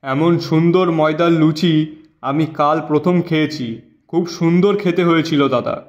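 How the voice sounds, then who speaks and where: Man acting a village shopper, chatty, thrifty, emphatic, slightly high voice, slightly lo-fi sound. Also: fairly even intonation, normal speed, natural voice. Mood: pleased. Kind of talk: Bengali moral-story cartoon dubbing.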